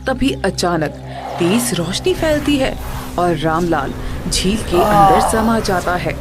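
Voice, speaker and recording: A woman narrates a scripted moral story. Her medium-pitched voice rises and falls in a very lively way, with soft music underneath. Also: slow.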